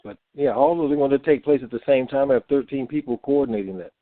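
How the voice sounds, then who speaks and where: A man's voice: medium pitch, fast, no echo. Man on a dry, narrow phone line. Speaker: conference call co-host answering questions. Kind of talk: informal question-and-answer call.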